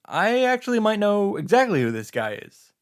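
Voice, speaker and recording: A man's voice: high-pitched, sing-song, normal speed, clean tone. A man giving theatrical reaction commentary on a story.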